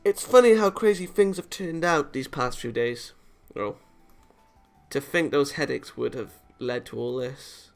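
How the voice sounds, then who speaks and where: Creaky-voiced man reading a game aloud. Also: mid-range voice, measured pace, faint music behind. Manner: often stumbles over words and rereads them. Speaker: gaming video maker reading a visual novel aloud. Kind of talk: dramatic read-aloud with off-the-cuff reactions.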